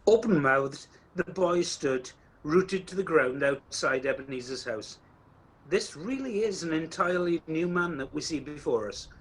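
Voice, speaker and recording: A man as a wry storyteller with a husky, slightly high voice. He narrates a radio play theatrically, confiding in the listener as he goes. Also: animated, measured pace, fairly bright tone, exaggerated voice, rough recording. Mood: wry, incredulous.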